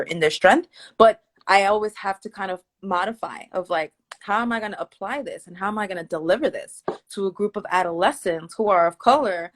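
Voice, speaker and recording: A woman with a mid-pitched voice speaks as a therapist guest on a casual live stream. English is not her first language, and she thinks aloud in a reflective, searching way. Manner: reflective, thinking aloud with many "like" fillers and restarts.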